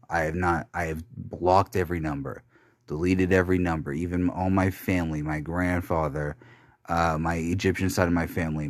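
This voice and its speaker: A man's voice: low voice, no echo, clean studio sound. A young man recording a confessional podcast monologue, bitter and rambling, swearing often and restarting his sentences. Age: young adult. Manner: halting, with many restarts and fillers.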